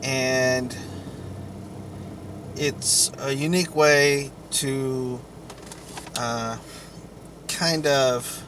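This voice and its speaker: A man giving an informal explainer monologue to viewers in a halting, deliberate way, with long pauses. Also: medium pitch, fairly even intonation, background noise. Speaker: vlogger.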